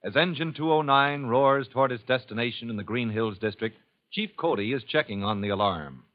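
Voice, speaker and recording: A man narrates an old radio drama in a smooth, measured announcer manner. His voice has a middle pitch for a man. The old recording sounds dull.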